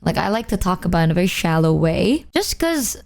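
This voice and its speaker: A young woman streamer with a hoarse, worn-out voice, chatting casually to her viewers in a flat, nonchalant way; English is not her first language. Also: lowish voice, fast. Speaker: VTuber streamer.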